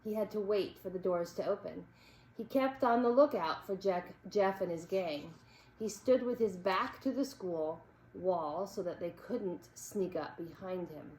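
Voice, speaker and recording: A woman narrates a children's chapter book in an expressive read-aloud style. Her voice is mid-pitched, recorded in a reverberant room with clear background noise. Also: a little slow.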